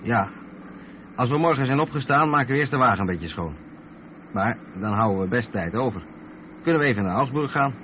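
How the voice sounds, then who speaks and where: An actor playing a calm truck driver who explains things patiently to his mate in a radio drama. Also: medium pitch, a little fast, pauses often, natural voice. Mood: patient, explanatory. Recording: tinny narrow-band radio recording with vehicle noise.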